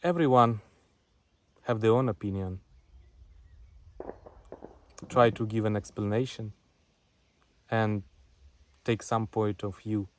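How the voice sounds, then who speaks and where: A man with a Ukrainian accent giving a deliberate spoken address to a poetry audience in short, separated phrases. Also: lowish voice. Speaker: poet and front-line witness sending a message.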